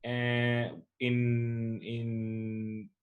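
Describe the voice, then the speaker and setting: A man's voice: medium pitch, monotone, drawn-out words, narrow, tinny audio. Man reflectively telling his own story. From born in Chile, raised in the US, Chile and China. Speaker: interviewee, soccer club founder. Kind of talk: casual personal storytelling.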